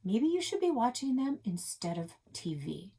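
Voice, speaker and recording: A curt father from a children's storybook, read by a woman. The voice is husky, with a sharp, impatient edge. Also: on the high side, sing-song, normal speed, voice pushed up, exaggerated voice. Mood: snappish. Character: snappish middle-aged dad, quick and sharp.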